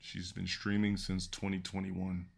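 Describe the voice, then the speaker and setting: A man with a very low voice reacts to clips on his stream. His tone is gravelly and rough with fry. He talks bluntly, swears freely and thinks out loud. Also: monotone, unhurried. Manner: blunt, profane, thinking aloud. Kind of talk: casual reaction commentary.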